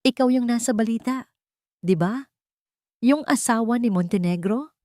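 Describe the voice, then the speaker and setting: A suspicious, sneering pawnshop owner who looks down on his customer, as a woman narrator puts him on. He sits higher in pitch than the narrator's usual voice. The recording is close and dry. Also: normal speed, darkish tone, dry recording, lightly acted. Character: mocking middle-aged male pawnshop owner.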